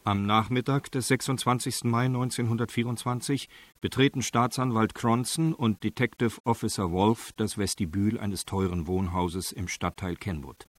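An actor playing a sober, matter-of-fact crime narrator in a documentary-style radio play. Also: male voice, on the low side, fairly even intonation, normal speed, no echo, close to an everyday voice. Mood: sober, factual. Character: sober, factual male crime-story narrator.

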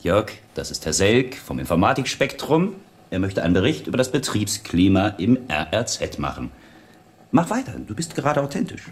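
Low, lively, roguish technician. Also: male voice, normal speed, husky, exaggerated voice. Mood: teasing. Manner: wry, teasing, chatty. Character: roguish, clever male computer-centre technician, playful tinkerer. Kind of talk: radio play dialogue.

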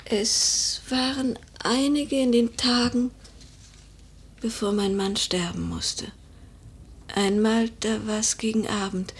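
Proud young noble widow in mourning: higher-pitched, breathy, solemn, classic radio drama. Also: female voice, steady tone, slow pace, heavily acted. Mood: haunted, hushed. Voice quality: breathy, often dropping to a whisper. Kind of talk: German literary radio drama acting.

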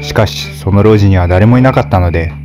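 A male storyteller reading a fairy tale in a steady, measured way. His voice sits on the low side, and music plays clearly beneath the narration.

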